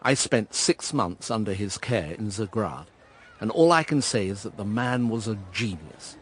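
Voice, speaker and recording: An actor playing a melancholy gentleman narrating his own past in a radio drama, measured, understated and reflective. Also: on the low side, lively intonation, slightly rough. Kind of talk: first-person dramatic narration.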